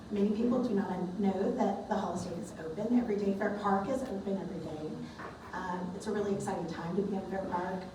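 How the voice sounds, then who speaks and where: A woman giving a casual presentation to a club meeting. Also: medium pitch. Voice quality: raspy. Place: large meeting room. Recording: echoey room from far off with background noise.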